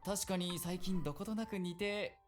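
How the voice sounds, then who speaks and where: A man voicing an easygoing, gently teasing policeman and mentor in a visual novel. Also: high voice, steady tone, fast talker, soft background music, close to an everyday voice. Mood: flustered. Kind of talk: Japanese visual novel voice acting.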